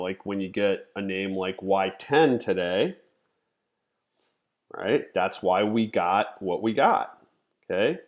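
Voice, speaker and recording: A man in his thirties with a nasal, congested voice, teaching a live-stream chart lesson in a casual way that thinks aloud. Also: fairly low pitch. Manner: conversational, thinks aloud, frequent "okay?" and "you know". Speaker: trading educator, live stream host.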